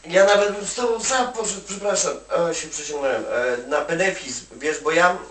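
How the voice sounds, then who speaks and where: A man's voice: a little high, moderate pace, fairly bright tone. A man from Poland chatting casually on the phone, giving advice in a rambling, colloquial way full of "you know" tags. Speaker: Polish migrant worker advising an acquaintance. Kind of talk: casual one-sided phone chat. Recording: echoey room, distant from the mic.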